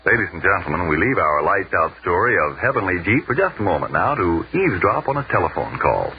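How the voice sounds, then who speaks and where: Male vintage radio announcer, low voice with lively swings, formal and emphatic, muffled noisy broadcast. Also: fast. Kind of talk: 1940s scripted radio announcing and advertising.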